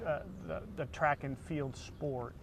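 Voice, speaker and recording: A middle-aged man interviewing casually, with rambling, halting questions. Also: medium pitch, fairly even intonation. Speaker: interviewer, faculty member and former football coach. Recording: clear background noise.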